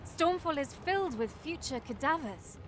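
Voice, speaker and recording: A woman's voice: high-pitched, lively intonation, moderate pace, slight background noise. An actress playing a sceptical, defiant female warrior in a fantasy game, with a direct, questioning manner. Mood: concerned. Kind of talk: dramatic fantasy game acting.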